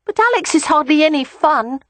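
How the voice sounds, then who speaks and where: A woman voicing a playful four-year-old boy in an audiobook, with a high childlike voice. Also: animated, normal speed, no room sound. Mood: whiny. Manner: high, bright, childlike pleading.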